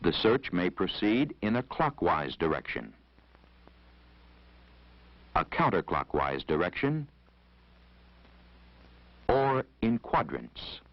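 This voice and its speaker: A man with an American accent narrating an instructional film in a formal, measured, authoritative way, on a vintage 1970s soundtrack. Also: deep voice, sing-song, no echo, muffled recording.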